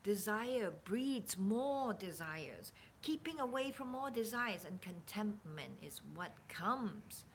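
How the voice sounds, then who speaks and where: A woman reading spiritual teachings aloud in a deliberate, emphatic way, sometimes dropping toward a breathy near-whisper. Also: medium pitch, animated, a little hiss or hum. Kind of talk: slow dramatic reading of spiritual dialogue with casual asides.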